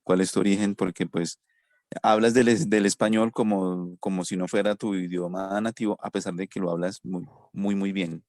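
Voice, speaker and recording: A man's voice: sits low, level tone, moderate pace, darkish tone, dry recording, studio quality. A man, a student in an online English class, asking his teacher questions politely and hesitantly, with many restarts.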